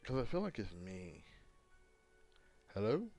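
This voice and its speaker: Hungover male streamer, slow, rambling, lively pitch. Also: soft background music. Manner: rambling, halting, talks to chat while fiddling with setup. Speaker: gaming livestreamer. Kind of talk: casual livestream chat.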